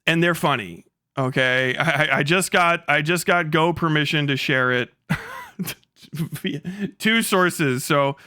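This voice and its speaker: A man's voice: fairly high pitch, a little slow, pushed and bright, smooth, dry recording, studio quality. A man giving casual livestream commentary as the host, rambling and stammering with plenty of swearing and an amused manner. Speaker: live streamer, host of the channel.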